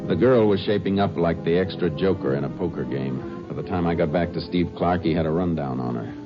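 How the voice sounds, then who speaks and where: An actor playing a steady, shrewd Texas Ranger in a 1950s radio detective drama, terse and matter-of-fact. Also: male voice, low voice, brisk, lightly acted. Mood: terse narration. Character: steady, shrewd Texas Ranger, male lawman narrating his case. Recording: muffled vintage radio recording with music.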